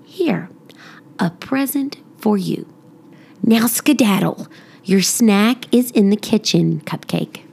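Woman playing a brisk, fond storybook mom. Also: medium pitch, lively intonation, creaky, a little mellow, husky, full character voice. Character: brisk, affectionate mother of a little girl.

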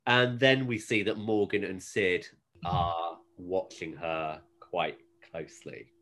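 A podcast host recapping a TV episode in casual, jokey chat, retelling scenes in an animated way. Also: low voice, takes it slowly.

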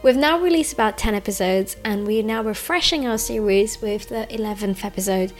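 A woman's voice: mid-range voice, a little fast, faint music behind. A woman hosting a podcast interview, chatty and encouraging with frequent affirmations. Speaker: podcast host.

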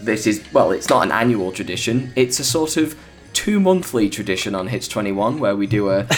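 Hoarse, chatty British man hosting. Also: fairly low pitch. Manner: chatty, rambling with fillers and restarts. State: hoarse, recovering from illness. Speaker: podcast host. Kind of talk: casual podcast chat.

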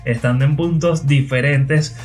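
A man's voice: on the high side, steady tone, unhurried, a little mellow, soft background music. A man, hosting a podcast and thinking back in a conversational way. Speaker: podcast host. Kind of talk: casual podcast chat.

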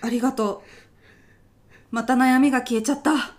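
High-pitched, bossy, fretful office senior played by a woman. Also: steady tone, moderate pace, lightly acted. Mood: relieved. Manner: blunt, pushy, rough-mouthed when challenged. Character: bossy, fretful female senior office worker with petty worries. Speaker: voice actor in a comedy radio drama. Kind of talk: short comedic radio drama.

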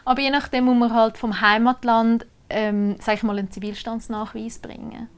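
Woman, swiss german accent, fairly high voice, explanatory, laughs lightly now and then. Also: level tone, normal speed, clean tone. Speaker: civil registrar. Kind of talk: casual interview answers. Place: Switzerland.

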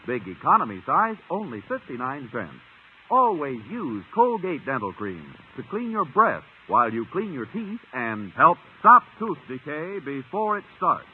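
Animated American radio ad man, tinny sound. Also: on the high side. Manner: emphatic, polished salesmanship. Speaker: radio announcer. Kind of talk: 1950 radio commercial announcing. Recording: thin, noisy old radio recording.

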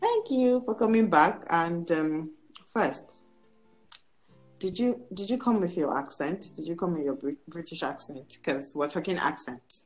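A woman hosting a casual podcast, chatty and expressive, who laughs easily. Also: fairly low pitch, measured pace, soft background music, low-quality recording. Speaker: podcast host.

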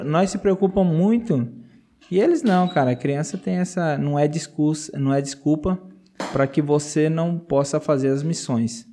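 Earnest missionary man, mellow voice. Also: fairly high pitch. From Brazil. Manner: earnest, laughs often, repeats words. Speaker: missionary guest. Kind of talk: casual interview testimony.

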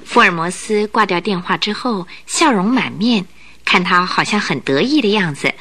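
A woman narrating a Mandarin radio drama as an expressive, scripted storyteller. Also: mid-range voice, moderate pace, husky. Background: music.

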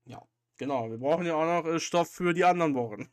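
A man's voice: a little high, quick pace, clear, resonant tone. A man hosting a podcast, chatting casually and informally. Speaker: podcast host.

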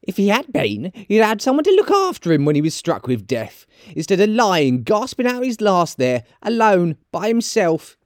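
A man voicing a bold, defiant, scornful charwoman in a storybook reading, loud and exclaiming. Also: sits high, wildly swooping pitch, moderate pace, hardly any pauses, dry recording, clean studio sound, pitched above the performer's own voice.

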